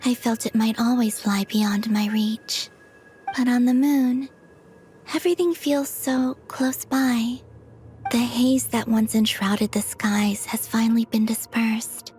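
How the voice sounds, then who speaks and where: An actress voicing a serene, tender young moon goddess in a video game, speaking softly, breathily and earnestly. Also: female voice, a little high, fairly even intonation, slow pace, faint music behind. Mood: wistful, hopeful. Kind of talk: video game cutscene acting.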